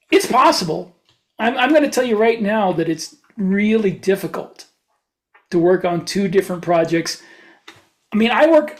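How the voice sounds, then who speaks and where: Man, podcast co-host, casual conversational delivery, notably high pitch. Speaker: podcast co-host, fiction writer. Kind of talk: casual chat.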